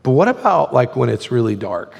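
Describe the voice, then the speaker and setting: A male pastor preaches in a relaxed, conversational way, leaning on "like" as he talks. His voice is hoarse and gravelly and sits at an ordinary pitch. The sound carries a long reverb from a large hall. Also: brisk. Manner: conversational, frequent "like" fillers. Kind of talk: sermon.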